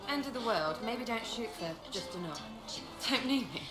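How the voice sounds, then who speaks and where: A woman's voice: a little high, expressive, natural voice. A sardonic young elf archer, played by a woman fires off blunt, mocking replies in a Fereldan accent. Music plays beneath her in a roomy game mix. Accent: Fereldan (British-style). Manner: blunt, mocking, quick retorts.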